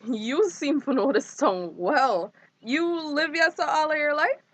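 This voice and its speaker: A woman's voice: sits high, measured pace. An actress playing a polite, inquisitive woman visitor in a screen drama. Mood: curious.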